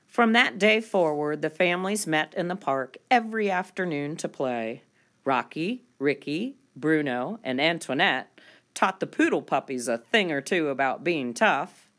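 A woman reading a children's picture book aloud as storyteller, expressive and deliberate, drawing out key words for young listeners. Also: low voice.

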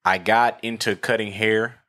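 A man's voice: fairly low pitch, fairly even intonation, normal speed, bright, forceful tone. A man in his early thirties hosting a podcast, talking in laid-back, slangy banter while a little tipsy. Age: 30s. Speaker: podcast host. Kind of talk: casual chat.

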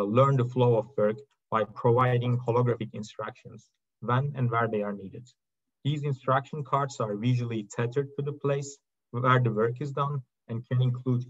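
Man presenting, dull lo-fi audio. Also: mid-range voice. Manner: halting, frequent uhs and short phrases. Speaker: presenter from a technology company's research and product team. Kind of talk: prepared slide presentation. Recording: dull, lo-fi video-call audio.

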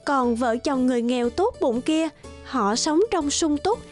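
A woman tells a children's fairy tale in a high voice. Her delivery is scripted storytelling, with children's guitar music clearly playing behind her. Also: lively intonation, measured pace. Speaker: narrator.

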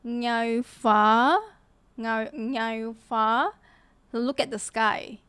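A young woman in her twenties streaming from a small room into a desk mic, chatting casually with viewers in a slightly hoarse voice. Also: on the high side, steady tone, extremely slow, fairly bright tone, dry recording. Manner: chatty, greets viewers, many fillers. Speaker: livestreamer. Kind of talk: casual gaming stream chat. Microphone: desk mic in front of her. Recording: music playing behind the voice.

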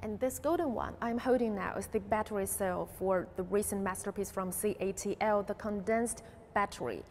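A woman's voice: mid-range voice, normal speed, a little mellow, a little hiss or hum. A young woman reporting on camera on location, enthusiastic and emphatic. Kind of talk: presenting on location. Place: factory floor and outdoors.

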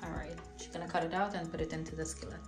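A woman's voice: deep voice, little pitch movement, rapid-fire, over music. A woman hosting a home cooking show, chatting casually and asking lots of questions as she goes. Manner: chatty, asks many questions, restarts often. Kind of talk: casual kitchen chat. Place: home kitchen. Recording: roomy kitchen echo.

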